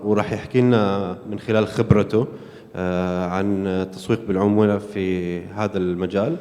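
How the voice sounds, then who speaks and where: A man introduces the next speaker at a conference, rushing through his words with many hesitant fillers. His voice sits low. The sound carries a long hall echo. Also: darkish tone. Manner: hesitant, frequent "aah" fillers. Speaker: event host. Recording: hall reverb.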